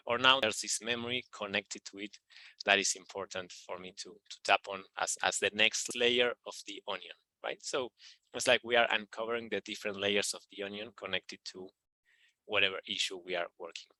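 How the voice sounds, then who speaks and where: A man walks viewers through a tapping technique, calm and repetitive, with a low but strikingly bright tone. His voice is recorded close and dry, with no room sound. He talks in a casual, explanatory way with small fillers. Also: normal speed, no room sound, rough recording. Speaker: EFT practitioner teaching tapping. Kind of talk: instructional video walkthrough.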